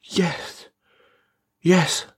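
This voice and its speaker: A man's voice: extremely slow, a bit bright. An actor playing a nervous, eager, lovestruck man with a heavy stammer in a radio play. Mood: elated, anxious.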